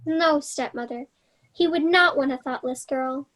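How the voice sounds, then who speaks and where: A woman playing a wry, quick-witted young heroine in a fairy-tale play reading, with a dry, teasing manner. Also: high-pitched, a bit bright. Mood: dry sarcasm. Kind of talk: staged reading of children's play.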